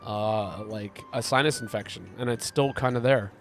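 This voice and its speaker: A man streaming a game, chatting with his viewers and answering their messages. He is sick and congested, and his voice is hoarse, gravelly and deep. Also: moderate pace, soft background music. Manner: rambling, reads chat aloud and answers it. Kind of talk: casual live-stream chat while gaming.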